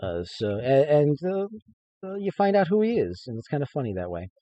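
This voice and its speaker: A man's voice: fairly high pitch, very animated, fairly quick, warm tone, no echo, muffled recording. A man giving an informal author's reading of his book, with casual, hesitant asides.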